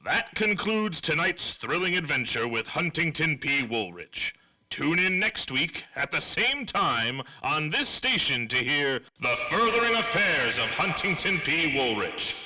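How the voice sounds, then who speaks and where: An actor playing a 1930s radio serial announcer and sponsor pitchman, with emphatic, theatrical delivery. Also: sing-song, measured pace, bright, forceful tone, heavily acted, poor audio. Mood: showy.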